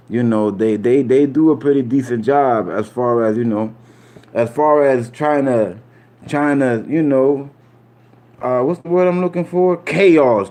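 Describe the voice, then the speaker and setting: A man's voice: mid-range voice, darkish tone. A man from Puerto Rico chatting loosely with his live audience in street slang, repeating himself and tossing in "you feel me" asides. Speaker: streamer talking to live chat. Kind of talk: casual rambling commentary.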